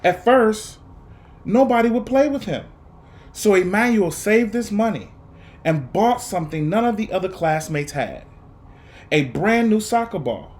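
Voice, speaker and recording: Guy reading a kids book out loud, slightly high voice, really animated, dramatic pauses. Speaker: storybook reader. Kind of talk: children's picture book read-aloud.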